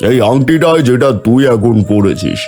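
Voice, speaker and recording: A man's voice: mid-range voice, expressive, unhurried, darkish tone, close to an everyday voice. A man playing an all-knowing holy sage, stern but benevolent, in a fairy-tale cartoon.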